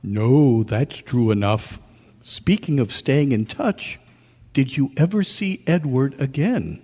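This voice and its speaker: A man playing a stiff, courteous military colonel with a husky voice and old-fashioned formal manner, in radio-drama style. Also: mid-range voice, animated, slow, warm tone. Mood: friendly, formal. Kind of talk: old-time radio style stage acting. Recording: echoey hall at a club meeting.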